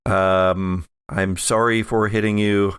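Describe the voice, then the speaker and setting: A man's voice: fairly low pitch, little pitch movement, takes it slowly, fairly bright tone, clean tone, no echo. A man playing a jittery, panicky man in a horror roleplay, flustered and given to exclaiming. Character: Skeen, jittery, panicky man at a grisly crime scene. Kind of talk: tabletop roleplay in a horror podcast.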